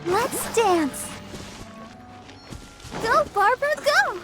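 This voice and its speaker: A tiny fairy sidekick from a video game, extremely high and squeaky. She is bubbly and childlike, speaking at an unhurried pace over loud music. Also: animated, heavily acted. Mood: playful, excited. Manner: bubbly, speaks of self in third person. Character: tiny childlike cheerful floating fairy companion girl. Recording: music behind the voice.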